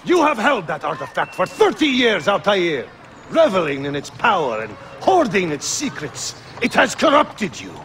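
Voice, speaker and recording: A cold scornful rival leader. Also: male voice, high-pitched, huge pitch swings, moderate pace, a bit bright, slight background noise, full character voice. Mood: accusing. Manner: cold, accusing. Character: scornful, ambitious male assassin rival leader. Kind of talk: video game cutscene acting.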